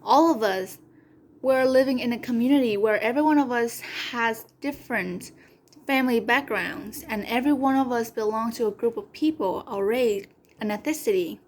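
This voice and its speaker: A woman's voice: fairly high pitch, normal speed, a little mellow, a little hiss or hum. A young woman whose first language is Vietnamese gives a careful, earnest class presentation, sometimes restarting a phrase. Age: young adult. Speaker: community college student. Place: home room, online class video.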